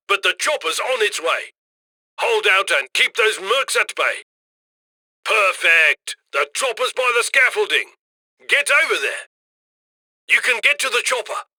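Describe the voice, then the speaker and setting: Game handler: jovial male criminal mastermind, higher-pitched, very sharp bright tone, theatrical and wry, close dry radio comms. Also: no room sound, heavily acted. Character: cocky, jovial male heist mastermind guiding his crew. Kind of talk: scripted video game mission voice lines.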